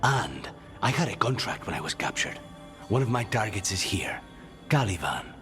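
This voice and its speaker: Low, grim captive assassin. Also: male voice, sing-song, moderate pace. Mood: guarded, grim. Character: captured male assassin possessed by a demon, grim and guarded. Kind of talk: cinematic fantasy game voice acting. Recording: loud music behind the voice. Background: loud game music.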